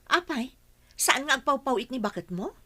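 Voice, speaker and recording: A woman's voice: high voice, expressive, moderate pace, bright, forceful tone, full character voice. A woman playing a prying, blunt acquaintance in a radio drama, incredulous in manner. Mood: nosy, teasing. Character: nosy, teasing, blunt acquaintance. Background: music.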